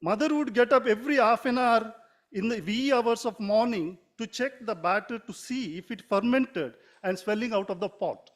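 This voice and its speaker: A man from Mangalore with an Indian English accent, a native Konkani speaker, reading a formal prepared talk in a measured way, now and then correcting himself. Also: sits high, a bit bright. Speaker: writer giving a talk.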